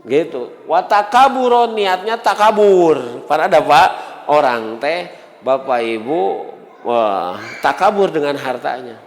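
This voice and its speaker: A man's voice: fairly high pitch, lively intonation, slow. A man with a Sundanese accent preaching an Islamic sermon conversationally, with rhetorical questions and acted-out dialogue. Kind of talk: sermon to a congregation. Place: large mosque hall. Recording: reverberant mosque hall.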